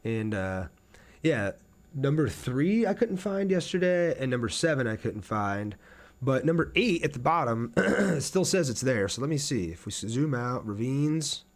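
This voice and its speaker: A man's voice: medium pitch, expressive, measured pace. A man live streaming a game, thinking aloud in an informal way and chatting casually with viewers as he plays.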